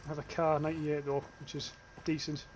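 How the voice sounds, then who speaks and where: A man's voice: a little high, completely flat delivery, a little fast, background noise. A young British man with a northern English accent, casually commentating his gameplay livestream, swearing offhand and reading chat comments. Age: young adult. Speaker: streamer.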